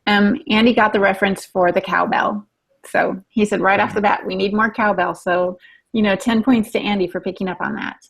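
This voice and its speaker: A woman's voice: mid-range voice, steady tone, a little fast, vocal fry, husky. A woman co-hosting a podcast, chatting casually and trading playful banter. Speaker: podcast co-host.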